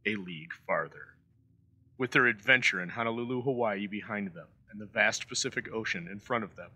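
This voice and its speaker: Very bright, forceful male host, lowish pitch. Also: rough recording. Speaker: host introducing old-time radio episodes. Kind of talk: scripted introduction narration.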